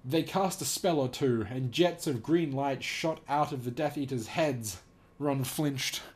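A man's voice: mid-range voice, unhurried. A young man reading a text aloud and adding casual, joking, sweary commentary in an amused way. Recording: noticeable background noise.

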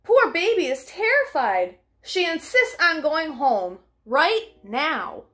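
A young woman reading a children's storybook aloud in an expressive, deliberate, child-directed way. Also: high-pitched. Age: young adult. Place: small furnished living room at home.

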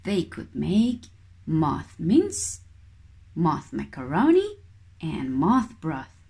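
Kiwi lady reading a kids story, super slow, really animated, careful phrasing. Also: low-pitched, creaky. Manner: expressive, deliberate phrasing. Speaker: children's book reader. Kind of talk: storybook read-aloud for young children.

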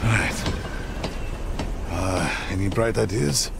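An actor playing a big, good-natured, slightly unsure trapper in a Western video game, with a gravelly, folksy delivery. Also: male voice, on the low side, slow pace, over loud music. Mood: eager, unsure. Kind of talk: video game cutscene acting.

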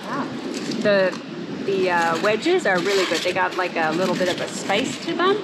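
A woman's voice: fairly low pitch, big pitch swings, moderate pace, heavy background noise. A middle-aged woman chatting casually to a handheld camera outdoors as a travel vlogger. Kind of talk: casual vlog chat. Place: outdoors on boat, paths and open beach.

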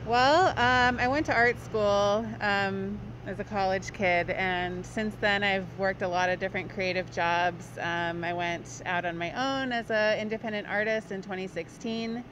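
A woman's voice: mid-range voice, steady tone, measured pace, few pauses. A woman in her thirties from Hawaii, an artist being interviewed on the street, talking thoughtfully with frequent ums. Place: outdoors by a brick wall on a city street. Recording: dull, noisy street audio.

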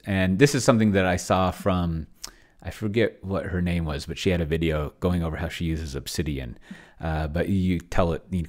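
A man giving casual screen-share commentary, thinking aloud in a rambling, hesitant way with wry, self-deprecating humour. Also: sits low. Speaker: solo tabletop roleplayer, video host. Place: indoors at a computer, screen recording.

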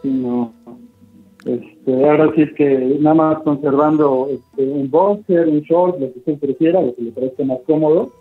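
Muffled, husky young Mexican man on phone. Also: on the high side, normal speed. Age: young adult. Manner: courteous, reassuring. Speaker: spa receptionist on the phone. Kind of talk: polite customer service phone talk. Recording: muffled phone line, faint music.

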